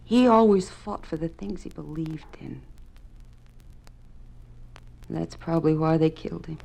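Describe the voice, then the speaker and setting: A woman's voice: low voice, a little slow, gravelly, mellow, full character voice. An actress playing an anguished, indignant mother in a 1950s radio drama, emotional and pleading. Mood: bitter, grieving. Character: anguished, indignant mother defending her young son. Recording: noisy vintage radio broadcast recording.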